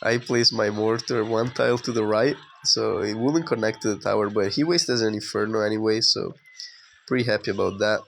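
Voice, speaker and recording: A young man with a hoarse voice from being sick, giving casual, relaxed commentary over his own gameplay with lots of fillers. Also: fairly low pitch, brisk, slight background noise. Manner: relaxed, conversational with frequent fillers.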